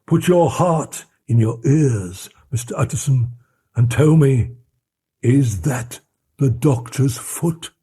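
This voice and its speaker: A man voicing a frightened, loyal old male butler who talks in a hushed, fearful way. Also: mid-range voice, expressive, slow pace, husky, pitched above the performer's own voice, full character voice.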